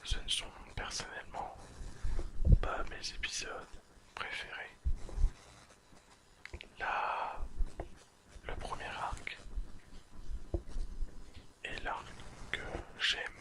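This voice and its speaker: An ASMR host speaks entirely in a breathy whisper. The delivery is extremely slow and deliberate, broken into short phrases with pauses between them, like a relaxing spoken summary. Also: rough recording. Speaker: host of an ASMR anime recap channel.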